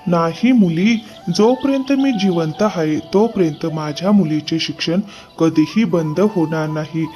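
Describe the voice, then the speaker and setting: A man playing an earnest, determined poor carriage driver and loving father in a cartoon moral story, speaking in a measured way. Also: on the high side, dark tone, soft background music, natural voice. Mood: reassuring.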